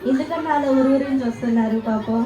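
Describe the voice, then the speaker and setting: Female kids' show host, high voice, coaxing and interactive, echoey room with crowd behind. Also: fast, highs cut off. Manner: coaxing, repeats questions to the audience. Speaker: children's puppet show host. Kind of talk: playful interactive hosting for children. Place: live children's event in a hall. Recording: echoey hall with crowd and faint noise.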